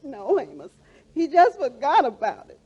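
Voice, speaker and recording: Hurt wife in 40s radio comedy, high pitched, very up and down, plaintive and folksy. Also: female voice, huge pitch swings, normal speed, warm tone, muffled recording. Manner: plaintive, emotional, folksy grammar. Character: hurt, sentimental wife of twenty years. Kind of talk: 1940s radio comedy acting.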